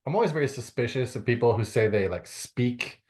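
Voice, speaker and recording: A man's voice: medium pitch, lively intonation, fairly quick, darkish tone. A middle-aged man from Canada answering interview questions, with many fillers and restarts. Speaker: guest author.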